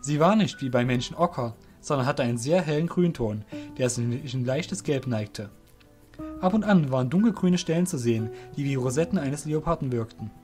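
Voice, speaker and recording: A man narrating a fantasy audio drama from a script in a deliberate, descriptive manner. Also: mid-range voice, expressive, a little mellow, soft background music.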